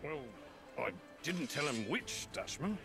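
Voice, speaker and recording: An actor playing a roguish, slippery pirate captain with a wry, sly drawl and archaic turns of phrase in a video game. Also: male voice, a little high, big pitch swings, a little slow, music in the background. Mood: wry, cocky. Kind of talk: video game cutscene acting.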